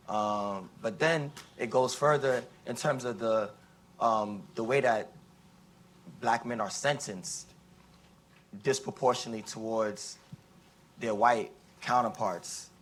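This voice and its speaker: Young man asking a halting question from the audience. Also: fairly low pitch, a little hiss or hum. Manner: halting, many fillers and restarts. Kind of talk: rambling audience question at a panel. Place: conference room with an audience.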